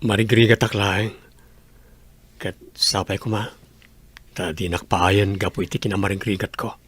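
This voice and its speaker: A man with an Ilocano accent and a slightly husky voice, acting an earnest, humble young village suitor in a radio drama. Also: lowish voice, steady tone, moderate pace, fairly bright tone. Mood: pleading, tender.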